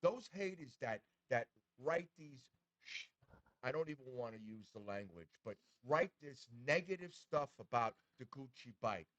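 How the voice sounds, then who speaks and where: A man's voice: medium pitch, animated, a little slow, a bit bright. An older man in his seventies hosts a casual chat, earnest and encouraging, repeating his points to drive them home. The audio is dull and muffled, though dry with no echo. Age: 70s. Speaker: show host.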